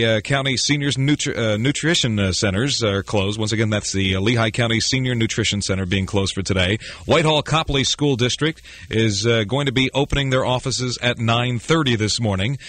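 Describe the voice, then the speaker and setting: A man's voice: medium pitch, normal speed, fairly bright tone. 1980s AM radio morning man. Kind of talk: 1980s local AM radio announcing. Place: radio studio. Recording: radio aircheck with music behind.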